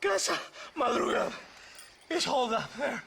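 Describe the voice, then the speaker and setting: Frantic, shrill, gravelly captive. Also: male voice, very animated, normal speed, bright, forceful tone, a little hiss or hum, heavily acted. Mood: desperate, pleading. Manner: frantic, pleading. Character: desperate, pleading male captive in a Western. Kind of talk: video game cutscene acting.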